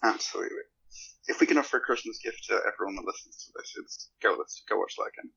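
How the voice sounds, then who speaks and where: A man's voice: fairly low pitch, racing, vocal fry, sharp tone, slightly rough, no echo, lo-fi sound. A man chatting casually as a podcast guest, rambling a little hesitantly.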